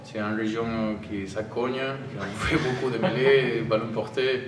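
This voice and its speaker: A man with an Argentine Spanish accent, speaking halting non-native French as a rugby player answering press questions. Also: medium pitch, steady tone. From Argentina (Tucumán, in the north). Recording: roomy, distant microphone, audible background noise.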